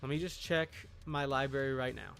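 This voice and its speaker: A man's voice: mid-range voice, a little fast, creaky, a bit bright, faint music behind. A man livestreaming a video game, chatting casually in an opinionated, emphatic, argumentative way. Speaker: streamer.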